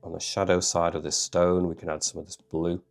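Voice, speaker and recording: A man with a bunged-up cold voice, calmly talking through a drawing tutorial step by step. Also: deep voice, fairly quick, vocal fry, soft background music. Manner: calm, reassuring, thinks aloud. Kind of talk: relaxed step-by-step tutorial narration.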